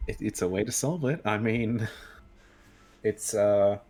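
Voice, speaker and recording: A man's voice: lowish voice, unhurried, a little mellow. A man chatting as a guest on an online show, rambling and correcting himself as he tells stories. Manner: rambling, self-correcting storyteller. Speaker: interview guest. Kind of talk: casual chat.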